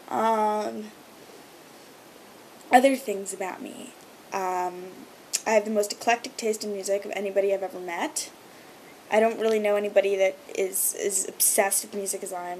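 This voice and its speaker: A woman's voice: medium pitch, a little mellow, a little hiss or hum, rough recording. A young woman whose first language is Hebrew, chatting to camera in a gushing, filler-heavy vlog. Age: young adult. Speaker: vlogger. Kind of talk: casual chat to camera.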